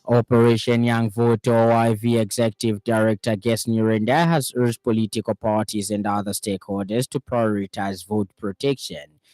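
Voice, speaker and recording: A man with a Zambian accent reading a scripted radio news bulletin in a formal, measured way. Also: on the low side, steady tone, darkish tone, no room sound, low-quality recording.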